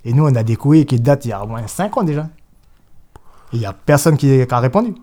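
A man's voice: medium pitch, animated, fast, warm tone. A man, a jurist speaking as an interview guest in an emphatic, insistent way, repeating points and mimicking opponents. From New Caledonia. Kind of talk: assertive political interview talk.

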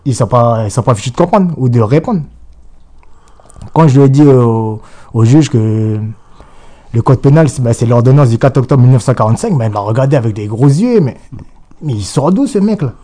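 Insistent man with a mellow voice. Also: medium pitch. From New Caledonia. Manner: insistent, repetitive, restarts often. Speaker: guest. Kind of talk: political interview.

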